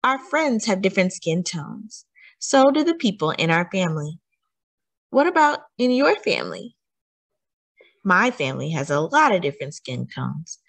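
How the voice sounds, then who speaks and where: A woman reading a children's picture book aloud as a story time host, with deliberate, teacherly emphasis and questions to young listeners. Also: lowish voice, sing-song, creaky, breathy edge.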